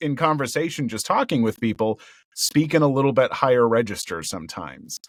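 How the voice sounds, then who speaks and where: A cheerful, excitable man talking about his voice work in a relaxed interview. His voice sits at a middling pitch with a gravelly edge. The sound is dry and close, with no room around it. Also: fairly quick, no echo. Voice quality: speaks in a raised register with a gravelly undertone. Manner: cheerful and excitable, laughs often. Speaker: voice actor guest. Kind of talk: casual interview chat. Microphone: headphones and a home recording mic.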